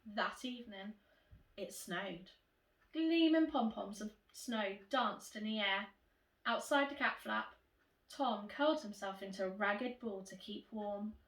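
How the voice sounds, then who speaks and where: A woman teacher reading a children's storybook in an animated, expressive way, addressing young children directly. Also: mid-range voice, unhurried. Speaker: primary school teacher reading to pupils. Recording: roomy echo, distant microphone.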